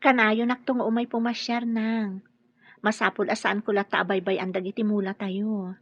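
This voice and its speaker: Woman playing dutiful loving daughter, Ilocano accent, husky breathy voice, radio drama, dull lo-fi recording. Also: mid-range voice, flat delivery, moderate pace, dry recording, lightly acted. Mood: reassuring. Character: devoted young bride, dutiful and soft-hearted daughter.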